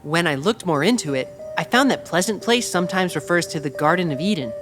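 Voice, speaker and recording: Actor as bookish, reserved young tech whiz, audio drama, measured delivery, music clearly under the voice. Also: mid-range voice, animated, close to an everyday voice. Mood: earnest, measured. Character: pale, brainy, reserved young tech-savvy researcher. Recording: clear music bed behind the voice.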